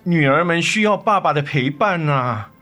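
A man's voice: a little high, animated, moderate pace, sharp tone. A man acting a cheating husband and father in a radio drama, by turns defensive and pleading, with emotional, faltering delivery. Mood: desperate. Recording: studio radio drama with music underneath.

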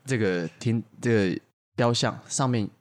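Mid-pitched man improvising stories. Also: normal speed. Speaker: podcast storyteller. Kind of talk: casual improvised storytelling.

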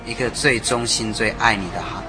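A man's voice: on the low side, normal speed, poor audio. A man speaking as a songwriter guest in an interview, explaining casually and earnestly, repeating phrases for emphasis. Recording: music behind the voice. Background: music.

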